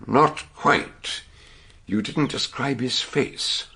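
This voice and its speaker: An older man with a British accent playing an eccentric, shrewd gentleman detective in a radio drama, speaking in deliberate, courtly phrases with a gravelly voice. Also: medium pitch, full character voice. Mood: probing. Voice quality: gravelly, husky.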